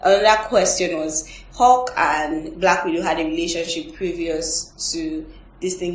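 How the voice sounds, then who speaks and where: A woman's voice: lowish voice, recorded from a distance. A woman hosting a casual panel review, giving her opinions and thinking aloud as she talks. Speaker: host of a team film review. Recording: echoey room, off the mic, faint noise.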